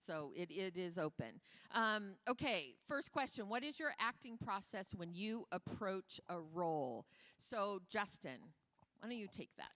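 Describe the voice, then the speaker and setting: A woman moderating a panel, brisk and cheerful as she hands out questions. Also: medium pitch, very animated, fairly bright tone. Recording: reverberant hall.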